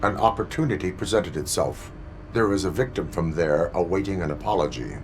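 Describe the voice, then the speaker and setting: An actor playing a stoic cyborg police officer in a video game, with flat, formal, clipped delivery. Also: male voice, deep voice, a little fast, slight background noise, poor audio. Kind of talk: video game cutscene acting.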